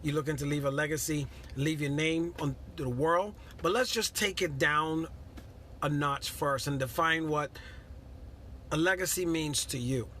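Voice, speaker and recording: A man's voice: fairly high pitch, unhurried, pushed and bright, a little hiss or hum. A middle-aged man from Guyana giving a casual motivational talk on a live stream, earnest and repetitive, greeting viewers by name.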